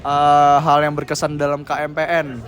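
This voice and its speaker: A man's voice: a little high, monotone, normal speed, a bit bright. A young man answering interview questions outdoors in a rambling, slangy way full of fillers. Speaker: senior member being interviewed.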